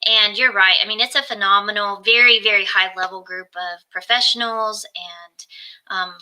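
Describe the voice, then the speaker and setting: A soft-spoken woman talking earnestly as a guest coach on a casual video call from home. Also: mid-range voice, fairly even intonation, normal speed, rough recording.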